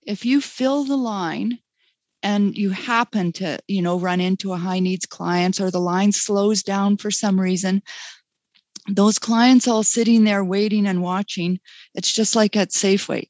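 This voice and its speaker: A woman talking as a guest in a webinar conversation, relaxed and chatty, laughing often. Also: medium pitch, measured pace, no echo. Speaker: guest speaker.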